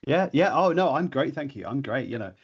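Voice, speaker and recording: A man's voice: medium pitch, lively intonation, fairly quick, breathy edge, muffled recording. A man hosting a podcast interview, talking hesitantly with many fillers and restarts, in a small room. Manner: hesitant, lots of "you know" and restarts. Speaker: podcast host.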